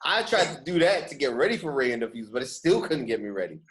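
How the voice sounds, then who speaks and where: Male podcast host, rapid delivery, casual and slangy, repeats lines for emphasis. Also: mid-range voice. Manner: animated street slang, repeats phrases for emphasis.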